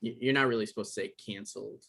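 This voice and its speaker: A man's voice: on the low side, fairly even intonation, quick pace, creaky, bright, forceful tone, husky, full character voice. An actor playing an awkward, evasive novelist in his thirties, stammering through naturalistic comedic dialogue in a table read. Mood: apologetic. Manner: hesitant, stammering. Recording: online live-stream table read.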